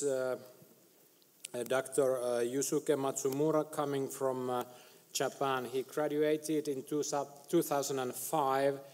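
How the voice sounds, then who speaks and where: A middle-aged man chairing a medical conference session, introducing speakers with many hesitant pauses. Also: medium pitch, a little mellow. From Finland. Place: large conference hall stage. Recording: reverberant hall.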